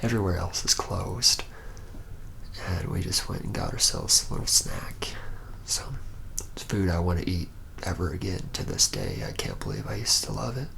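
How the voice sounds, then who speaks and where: A man as a low, gravelly, reluctant young vampire. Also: sing-song, fairly bright tone, exaggerated voice. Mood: hesitant, uneasy recollection. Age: young adult. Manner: hesitant, halting, murmured, often near whispering. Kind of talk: ASMR roleplay monologue.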